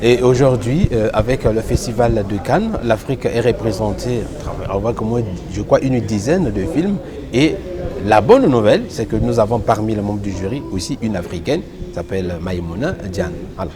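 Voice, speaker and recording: A man's voice: lowish voice, animated, moderate pace, a crowd in the background. A man interviewing actresses who asks probing, prompting questions.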